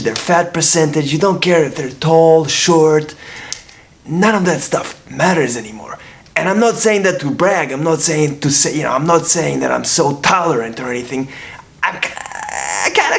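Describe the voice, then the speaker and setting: Rambling Brooklyn-accented man, gravelly. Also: fairly high pitch. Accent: Brooklyn New York. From Russia. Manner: rambling, repeats himself, frequent restarts. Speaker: vlogger. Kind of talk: casual monologue to camera.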